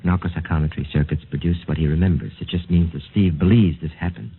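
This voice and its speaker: An actor playing a calm, clinical male military doctor with a measured manner, in 1950s radio drama. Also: a bass voice, husky, soft background music, heavily acted. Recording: muffled vintage 1950s radio recording.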